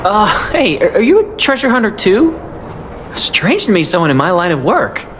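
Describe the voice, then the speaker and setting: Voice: medium pitch, wildly swooping pitch, moderate pace, background noise. An actor playing an eager, awkward amateur treasure hunter in a video game, flustered, hesitant and earnest. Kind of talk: video game character acting.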